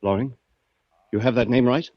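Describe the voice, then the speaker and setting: A man's voice: medium pitch, moderate pace, dry recording, natural voice. An actor playing a menacing, impatient gunman in a 1940s radio drama, curt and demanding. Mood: threatening. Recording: muffled vintage 1940s radio recording.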